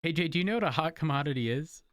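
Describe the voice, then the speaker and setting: A man's voice: a little high, expressive, racing, fairly bright tone, no echo, studio quality. A man bantering casually in game roleplay, teasing and asking questions. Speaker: player in online roleplay.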